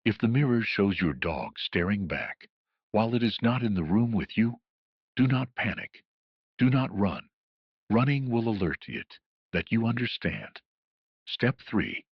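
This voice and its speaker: Muffled echoey recording, low-pitched man, solemn horror broadcast narrator, official and ominous delivery. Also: slightly rough. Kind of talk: scripted analog horror public warning broadcast narration. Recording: muffled lo-fi audio with roomy echo.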